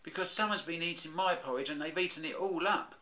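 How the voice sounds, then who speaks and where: A man voicing an excitable, cheeky young boy bear in a comic storybook reading. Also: a little high, fast, voice pushed up. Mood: eager.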